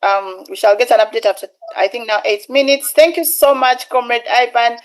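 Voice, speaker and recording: A woman with a somewhat high voice chairs an online meeting. She calls on speakers in turn, politely and in an organized way, with a few fillers. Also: steady tone, moderate pace. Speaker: meeting host. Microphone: video call.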